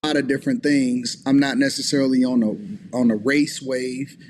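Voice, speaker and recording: A man in a played clip giving measured, articulate political commentary. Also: mid-range voice, fairly even intonation, darkish tone.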